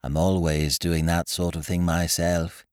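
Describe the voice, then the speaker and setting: A man voicing an amiable, dim young gentleman in an audiobook, bleating and chummy, full of old-fashioned British slang. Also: low-pitched, flat delivery, a little slow, no echo, studio quality. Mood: forgiving, soothing. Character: amiable, dim upper-class young gentleman, easily pleased.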